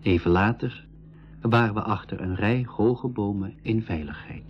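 An actor playing a composed storyteller, narrating an adventure in a scripted school radio drama. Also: male voice, on the low side, moderate pace, muffled recording, loud music behind the voice, natural voice. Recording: band-limited 1980s radio recording.

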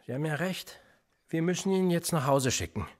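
An actor playing a world-weary, needling middle-aged police interrogator in a German radio play, pressing with rhetorical questions. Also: male voice, a little high, a little fast, natural voice. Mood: calculating, weary.

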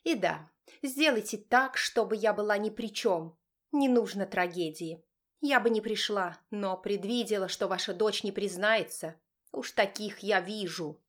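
A woman's voice: medium pitch, unhurried, close to an everyday voice. A woman voicing a haughty, cutting, imperious mother guarding her son in an audiobook drama. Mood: cold, disdainful. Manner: cutting, imperious, speaks through clenched teeth.

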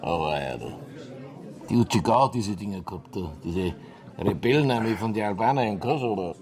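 A man playing a gruff, work-shy police inspector in a radio drama, grumbling and offhand, with a Bavarian accent. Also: fairly low pitch, expressive, brisk, a little mellow. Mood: curt, musing. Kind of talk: naturalistic radio drama acting.

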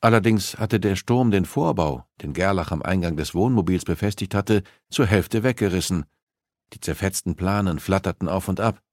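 Low-voiced man narrating suspense fiction. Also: normal speed, a little mellow, no room sound, studio quality. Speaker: audiobook narrator. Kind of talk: scripted dramatic narration of a suspense novel.

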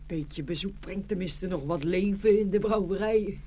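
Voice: medium pitch, normal speed, warm tone, natural voice. An eager, plaintive young fir tree, acted out. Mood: wistful. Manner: exclaiming, plaintive. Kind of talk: children's fairy tale audio drama. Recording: faint background noise.

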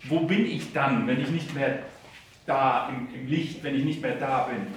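A man playing a searching, troubled figure, reciting theatrically in a halting, questioning way. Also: mid-range voice, natural voice. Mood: uneasy, questioning. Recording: very echoey, distant, slight noise.

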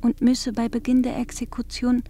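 A woman reading literary prose slowly and deliberately in a radio play. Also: a little high, completely flat delivery, dark tone. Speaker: reader.

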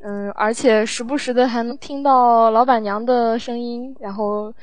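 Woman w/ highish voice, guest sound editor just chatting, animated and kinda rambly. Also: moderate pace, no echo. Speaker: audio drama post-production sound editor, guest. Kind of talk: casual interview chat.

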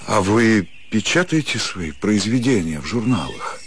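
An actor playing a polite, educated provincial doctor, quietly ironic and reflective, in a radio drama. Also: male voice, fairly low pitch, sing-song, unhurried, slight background noise. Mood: polite interest. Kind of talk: radio drama acting, inner monologue. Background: loud music.

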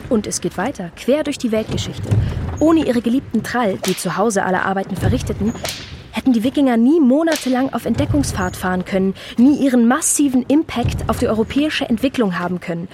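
An actress playing a figure in a theatrical revue, delivering scripted lines in a deliberate, articulate way. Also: female voice, on the high side, expressive, close to an everyday voice. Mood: smug, upbeat. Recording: clearly noisy, with clicks.

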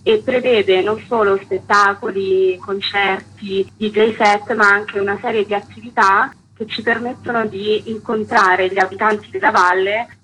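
A woman's voice: mid-range voice, a bit bright, poor audio. A woman festival co-director explaining an event in interview answers. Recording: faint background noise.

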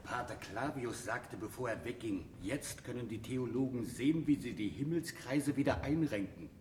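A man playing a deferential clerical attendant in a radio drama, speaking in a hushed, deliberate way. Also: medium pitch, animated, close to an everyday voice. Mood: hushed, confiding. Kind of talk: German radio drama acting. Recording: distant, echoey, very noisy recording.

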